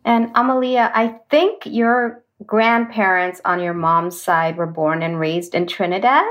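A woman hosts a podcast from her home office, speaking over a video call. She asks short, curious questions and keeps her guests talking with steady encouragement. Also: mid-range voice, a little slow. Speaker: podcast host. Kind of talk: friendly interviewing over a call.

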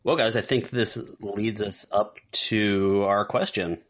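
Male podcast host, deep voice, casual moderator who explains and recaps in a measured manner. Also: fairly even intonation. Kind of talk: casual talk show moderating.

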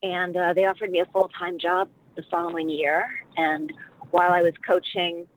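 A woman's voice: fairly low pitch, little pitch movement, normal speed, poor audio. A middle-aged woman from Washington, D.C., an actress and dialect coach, talking casually as an interview guest in a rambling, reflective way with many fillers.

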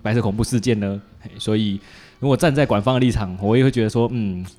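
Low-voiced Taiwanese man, casual podcast host. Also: fast talker, dark tone. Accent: Taiwanese Mandarin. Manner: joking, colloquial, frequent interjections. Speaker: podcast host interviewing a guest. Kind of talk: casual chat.

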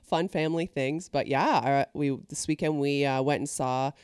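A middle-aged woman, an ordained minister, hosting a podcast: a scripted welcome, then animated, laughing chat. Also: low-pitched, moderate pace, clean tone, no echo, clean studio sound. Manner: animated storyteller who laughs often.

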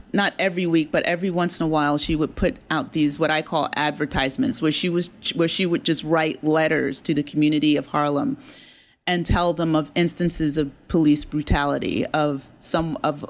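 A woman with a slightly low voice talks as a guest writer in a casual interview. She is thoughtful and halting, often leaving phrases hanging.

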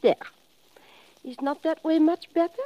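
A woman's voice: high-pitched, a little slow, lo-fi sound. An actress playing a poised, coy and mysterious foreign woman reporter in a 1950s radio drama, with formal, careful phrasing. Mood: coy, seductive.

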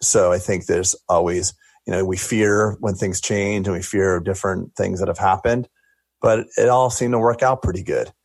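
A man's voice: sits low, steady tone, moderate pace, dry recording. A man in his forties, a talkative dentist guest chatting in a casual interview, reflective and joking as he answers. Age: 40s.

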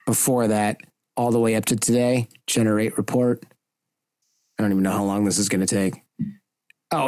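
A man's voice: mid-range voice, a little mellow, dry recording. A man who hosts a podcast, chatting casually in a candid, rambling way with the odd mild curse. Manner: candid, rambling, lightly profane. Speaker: podcast host. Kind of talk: casual chat.

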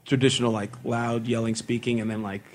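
A man's voice: mid-range voice, level tone, fairly quick, a little hiss or hum. An American man chatting casually and telling stories in loose slang, with some swearing. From born in Mexico, raised in Long Beach, California from about age three. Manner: slangy, swearing, quotes own thoughts. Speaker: hardcore band singer, interview guest.

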